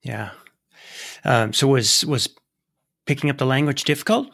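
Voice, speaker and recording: A man's voice: medium pitch, a little slow, gravelly, fairly bright tone, no room sound, studio quality. A man hosting an interview, delivering introductions and questions to a guest. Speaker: interviewer and host.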